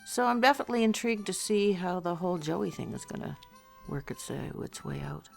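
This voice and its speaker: A woman's voice: fairly low pitch, expressive, fairly quick, gravelly, faint music behind. A woman chatting casually as an interviewee, in a hesitant, thoughtful manner. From Canada. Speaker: interviewee, amateur voice actor. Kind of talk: casual chat.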